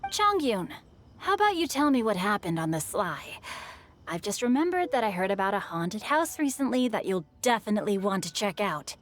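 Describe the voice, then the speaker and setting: A woman's voice: medium pitch, very animated, moderate pace, natural voice. A woman playing a mischievous, nosy teenage girl in a game, with playful, prying delivery. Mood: teasing, prying. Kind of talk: animated game cutscene acting.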